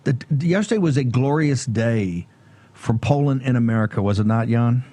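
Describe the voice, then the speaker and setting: A man hosting a political talk show, asking long run-on questions in a quick, emphatic way. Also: medium pitch, lively intonation, mellow, no echo.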